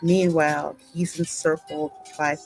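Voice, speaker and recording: A woman hosting a game playthrough, reading the story in a deliberate, dramatic way with casual asides. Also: sits low, little pitch movement, vocal fry, faint music behind. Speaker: gaming channel host and narrator.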